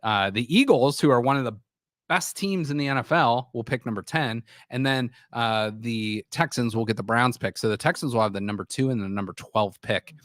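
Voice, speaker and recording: A man's voice: mid-range voice, normal speed, fairly bright tone, no room sound, studio quality. A man hosting a sports talk show, with a scratchy voice from a sore throat, rambling with frequent restarts. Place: small studio.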